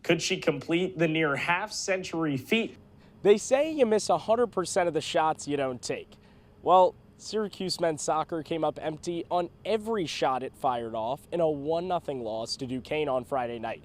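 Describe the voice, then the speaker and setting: A man's voice: on the high side, moderate pace. A man working as a sports reporter and announcer, delivering game news and commentary in a punchy, emphatic way.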